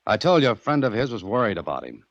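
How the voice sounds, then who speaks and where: An actor playing a cool, wry adventurer with an American accent, clipped and unruffled, in 1940s radio drama. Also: male voice, lowish voice, very animated, fast talker, no echo. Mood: wry, steady. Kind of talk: 1940s radio acting with narration. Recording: muffled old radio recording.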